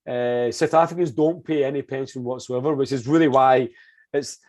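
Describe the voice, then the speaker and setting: A man's voice: mid-range voice, steady tone, normal speed, darkish tone. Joking man in his forties. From Scotland. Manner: joking, stammers and repeats words. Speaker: guest, language school manager. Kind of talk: casual interview chat.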